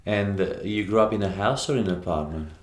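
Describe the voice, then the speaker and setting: A man's voice: on the low side, fast. A man in his forties hosting a faith interview show, asking friendly prompting questions in a book-lined studio. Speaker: podcast host. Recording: roomy studio sound.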